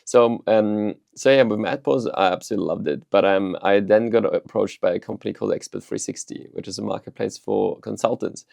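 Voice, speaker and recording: A man in his thirties, originally from Austria, answering interview questions in a relaxed storytelling way with frequent ums and restarts. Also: lowish voice, fairly quick, vocal fry, hardly any pauses, no room sound. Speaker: startup CEO, guest.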